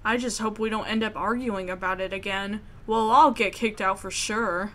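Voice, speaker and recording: A woman's voice: mid-range voice, steady tone, moderate pace, a bit bright. A woman reading a visual novel aloud as a gamer, mixing narration with sassy, casual commentary.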